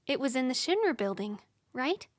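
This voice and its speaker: A woman playing a gentle, thoughtful young heroine in a fan dub, speaking pensively. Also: high voice, very animated, normal speed, no room sound, clean studio sound. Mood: puzzled. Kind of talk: dramatic fan dub acting.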